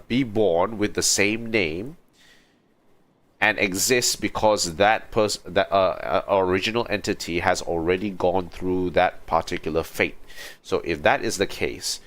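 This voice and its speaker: A male game streamer with a deep voice. He talks very slowly in casual commentary, musing and halting as he works through his thoughts aloud. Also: fairly bright tone. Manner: musing, halting, repeats and rephrases lines he hears. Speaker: game livestreamer.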